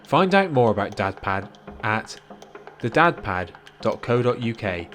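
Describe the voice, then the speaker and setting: A man's voice: on the low side, sing-song, unhurried, a bit bright, faint music behind. A man announcing scripted production credits as host of an audio programme.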